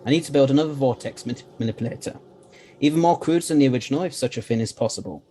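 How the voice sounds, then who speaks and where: A man playing an eccentric, confident time-travelling gentleman scientist in an audio drama, courteous and explanatory, with old-fashioned phrasing. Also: medium pitch, racing, a little hiss or hum, lightly acted.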